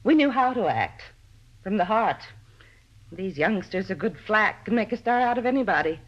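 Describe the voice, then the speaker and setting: A woman's voice: mid-range voice, animated, normal speed, lightly acted. A woman playing a talkative, vain older landlady who once acted, theatrical and self-important, in a radio drama. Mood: proud, nostalgic. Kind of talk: 1950s radio drama acting. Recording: muffled old-time radio recording.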